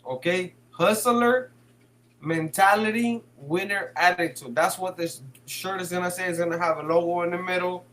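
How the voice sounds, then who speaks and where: Brief: Bay guy hosting a live stream, slangy, cussing, a bit high-voiced, light hum behind. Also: normal speed, fairly bright tone. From San Francisco Bay Area. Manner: slangy, swears, laughs a lot. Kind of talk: casual live chat reading comments.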